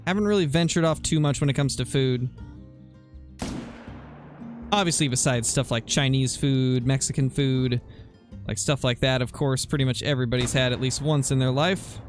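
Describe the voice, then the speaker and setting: A man's voice: medium pitch, fairly even intonation, normal speed, faint music behind. A young man from the US West Coast chatting casually with viewers while he plays, rambling in a laid-back way and saying "like" a lot. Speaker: streamer.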